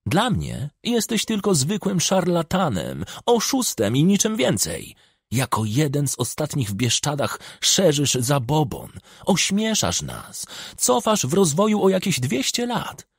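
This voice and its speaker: A man's voice: a little high, huge pitch swings, unhurried, husky, dry recording, clean studio sound, pitched above the performer's own voice, heavily acted. A man reading an audiobook voices a scornful, hot-headed village official, indignant and defiant. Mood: angry scorn. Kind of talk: audiobook character acting.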